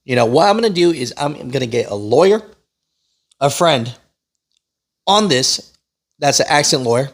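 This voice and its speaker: A man's voice: mid-range voice, expressive, moderate pace, pauses often. A man hosting a news channel, reading and explaining in a casual, opinionated, conversational way. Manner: conversational, opinionated, often checks in with "right?" and "you know". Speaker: host of a trucking news channel.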